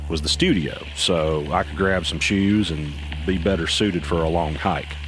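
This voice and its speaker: An actor playing a man who narrates a tense mystery in the first person, deliberate and confiding, for an audio drama. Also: sits low, noisy background. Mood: grim. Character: weary male narrator recounting a tense mystery. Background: music underneath.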